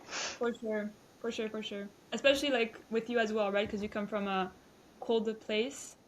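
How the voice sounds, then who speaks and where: A woman's voice: medium pitch, fairly even intonation, normal speed, slight background noise. A woman in her twenties from Belgium, a guest chatting casually with hesitant restarts and fillers. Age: 20s. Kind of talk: casual interview chat.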